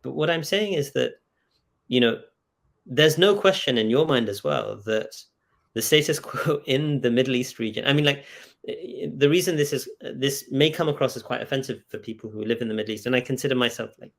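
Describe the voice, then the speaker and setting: A man speaking as a scholar in an earnest intellectual debate, insistent, pausing mid-thought and often checking for agreement. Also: mid-range voice, gravelly. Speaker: scholar and author in discussion. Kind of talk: earnest intellectual debate over video call. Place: video call.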